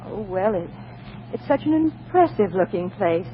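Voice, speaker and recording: A woman's voice: medium pitch, big pitch swings, moderate pace, lightly acted. An actress playing a pleading, sweetly scheming young lady seeking office work in a 1940s radio comedy, on a muffled, noisy recording. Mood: pleading, coaxing. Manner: pleading, flustered, repeats herself.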